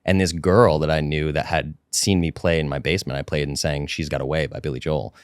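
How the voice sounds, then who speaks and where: A man from Rhode Island, a podcast guest, telling stories about his music life in animated, casual chat. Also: very deep voice, smooth, no room sound, studio quality. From Rhode Island, USA. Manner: animated, fast storytelling.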